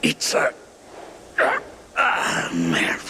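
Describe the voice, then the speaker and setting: An actor playing a weary, deposed gang boss in a noir cartoon game, speaking in a halting, rueful way. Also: male voice, noisy background. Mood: defeated, rueful. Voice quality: very creaky.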